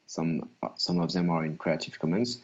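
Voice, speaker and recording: Very low-voiced man, halting explanation. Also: fairly even intonation, breathy edge, muffled recording. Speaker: company co-founder, panelist. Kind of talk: informal explanatory panel talk.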